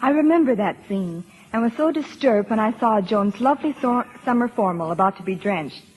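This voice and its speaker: A woman's voice: a little high, lively intonation, brisk, a little hiss or hum, rough recording. A woman giving scripted guest chat as an actress on a 1940s radio programme. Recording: old radio broadcast recording.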